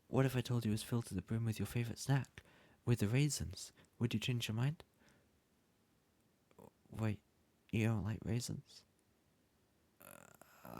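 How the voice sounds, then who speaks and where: A man's voice: on the low side, gravelly, darkish tone, husky, exaggerated voice. A man playing a bumbling, lovesick young yandere student in an ASMR roleplay, pleading hesitantly and stammering as he speaks to the listener. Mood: coaxing, flustered.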